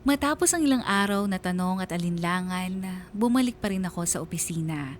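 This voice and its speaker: A woman's voice: lowish voice, expressive, fairly quick, slight background noise, close to an everyday voice. A woman playing a weary, anguished letter writer, narrating a scripted radio drama in a confessional, sorrowful manner. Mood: weary, sombre.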